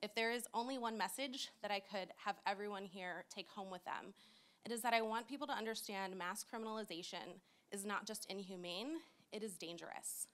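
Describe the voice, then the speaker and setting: A woman's voice: medium pitch, brisk, fairly bright tone. A woman from the United States in her forties giving a passionate conference talk with a handheld mic. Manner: earnest, passionate. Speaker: conference speaker. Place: conference room with audience.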